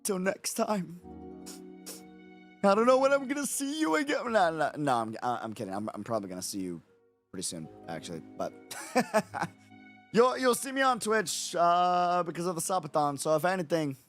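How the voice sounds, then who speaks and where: A man streaming casually late at night, his voice raspy and hoarse from no sleep, rambling and repeating himself with lots of fillers. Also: high-pitched, very animated, normal speed, fairly bright tone, faint music behind. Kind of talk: casual livestream chat.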